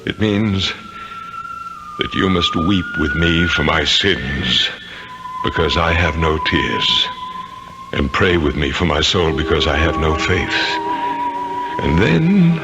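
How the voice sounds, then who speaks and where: Deep, slow, tormented old ghost. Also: male voice, expressive, lo-fi sound, heavily acted. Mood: grave, pleading. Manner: slow, solemn, theatrical delivery with dramatic repetitions. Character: remorseful, tormented ghost of a centuries-old English murderer. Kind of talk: 1970s radio drama acting. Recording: 1970s radio broadcast with music.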